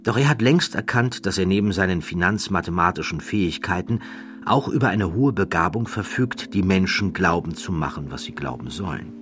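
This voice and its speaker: A man narrates a scripted documentary-style story in measured, deliberate phrases with dramatic emphasis. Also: sits low, expressive, fairly bright tone.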